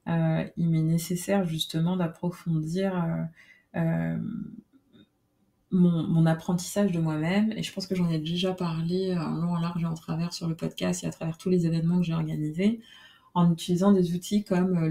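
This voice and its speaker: A woman hosting her own podcast alone, talking in a relaxed, reflective way with many fillers and restarts. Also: lowish voice, moderate pace. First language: French. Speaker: podcast host. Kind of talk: casual solo podcast monologue.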